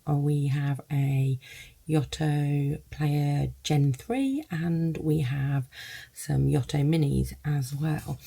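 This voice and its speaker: A woman's voice: low voice, creaky, warm tone, breathy edge. A woman giving a casual first-look product review, thinking aloud in loose, hesitant phrases.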